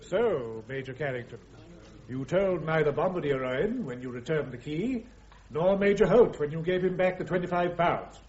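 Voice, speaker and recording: An actor playing a formal, coolly sceptical British military prosecutor in a radio drama, putting precise, pointed questions. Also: male voice, on the high side, lively intonation, moderate pace, a little mellow, a little hiss or hum, lightly acted. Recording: roomy, dull old radio recording.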